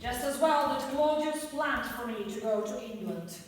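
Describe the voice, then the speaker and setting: A woman's voice: fairly high pitch, expressive, normal speed, recorded from a distance, slight background noise, natural voice. A woman playing a bumbling comic Prince Hamlet in a live amateur radio-play staging, laughing at her own jokes. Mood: giggly. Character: bumbling comic male prince Hamlet, chuckling and self-satisfied. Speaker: amateur stage actor. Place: theatre stage. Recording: echoey hall, picked up from afar.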